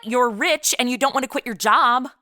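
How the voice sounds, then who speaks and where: A woman's voice: on the high side, normal speed, pushed and bright, no echo, studio quality. A woman playing a sarcastic modern woman out of place in the 1890s, quipping in slang in a comedy audio drama. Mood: deadpan quips.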